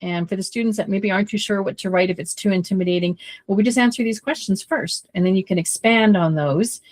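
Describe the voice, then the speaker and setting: A woman tutor on a podcast, explaining a writing activity as she goes. She is losing her voice and sounds nervous, laughing often between points. Her voice sits at a middle pitch. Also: fast, a little mellow.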